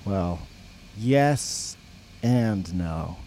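A man's voice: sits low, animated, extremely slow, warm tone, a little hiss or hum, heavily acted. A man playing a sly, aloof teenage camper in an audio drama, evasive and given to theatrical mock-biblical airs. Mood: flat, evasive.

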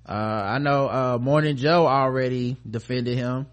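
An American man with a medium-pitched voice talks through the news as a podcast co-host. His delivery is casual and conversational, full of slang and profanity. He comes across as sardonic, commenting bluntly as he goes. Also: steady tone, measured pace.

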